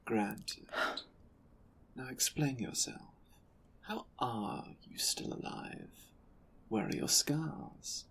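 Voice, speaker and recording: A man playing an imperious, menacing board leader in an audio drama, speaking in a condescending, deliberate, sardonic way. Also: medium pitch, gravelly, fairly bright tone, a little hiss or hum, full character voice. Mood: stern, impatient. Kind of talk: audio drama roleplay acting.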